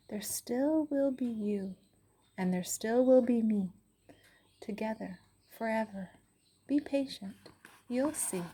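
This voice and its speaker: A woman voicing God, speaking in a hushed, solemn near-whisper. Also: medium pitch, slightly rough. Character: stern God speaking softly from the storm.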